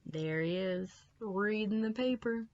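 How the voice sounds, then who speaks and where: A woman reading a children's story aloud with expressive, emphatic delivery and short asides to the listeners. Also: mid-range voice, measured pace, muffled recording. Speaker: librarian reading stories to children.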